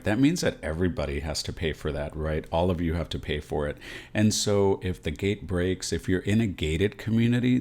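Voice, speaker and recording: Very deep-voiced American man. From San Antonio, Texas, USA. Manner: explanatory, often checks in with "right?". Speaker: realtor and video host. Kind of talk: direct-to-camera advice video.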